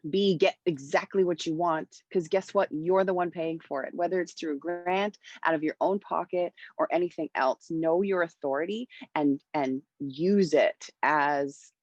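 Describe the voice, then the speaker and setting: Lively woman mentor on a video call. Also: deep voice, moderate pace, few pauses, dry recording, muffled recording. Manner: energetic, lots of hand gestures. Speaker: music mentor. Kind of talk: panel talk on recording. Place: home room with bright wall. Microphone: wireless earbuds on video call.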